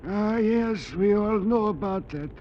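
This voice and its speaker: High-voiced, slow, solemn villager. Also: male voice. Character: solemn, superstitious villager warning of the werewolf. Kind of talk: 1940s radio acting. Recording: muffled, noisy old radio recording.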